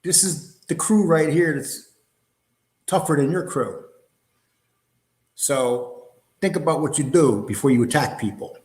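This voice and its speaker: A man's voice: fairly high pitch, unhurried, pauses often, darkish tone. A man hosting a casual livestream, talking in a blunt, combative, streetwise way. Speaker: show host. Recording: roomy, echoey sound.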